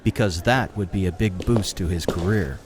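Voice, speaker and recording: A man with a fairly low voice narrates an audio drama. He reads scripted scene descriptions as the narrator. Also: moderate pace, background noise.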